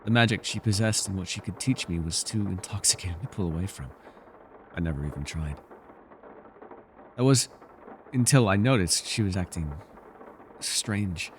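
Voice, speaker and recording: A man playing an ancient, wistful male elf in an intimate ASMR roleplay, telling things in a measured, gently teasing way. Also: sits low, slight background noise, natural voice. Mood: wistful, reflective. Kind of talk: ASMR character roleplay, intimate scripted monologue.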